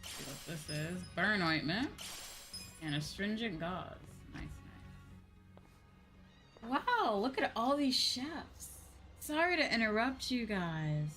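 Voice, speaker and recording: A woman's voice: mid-range voice, very animated, takes it slowly, fairly bright tone. A woman doing casual, playful, sweary gameplay commentary as a streamer, often reading item names aloud, on a close microphone. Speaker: VTuber game streamer. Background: game music.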